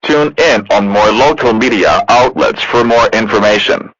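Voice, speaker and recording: A man reading a scripted emergency broadcast warning as a formal, flat-sounding announcer. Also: fairly low pitch, moderate pace, dry recording. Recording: dull, muffled lo-fi alert audio.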